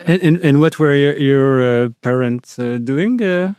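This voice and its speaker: A man hosting a radio interview show, asking questions hesitantly with many pauses and restarts. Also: mid-range voice, darkish tone, clear, resonant tone, dry recording, studio quality.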